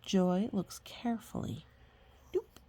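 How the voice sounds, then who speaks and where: A woman with a husky, breathy voice reading a picture book aloud to young children, with chatty, gently explaining asides and murmured agreement. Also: medium pitch, takes it slowly.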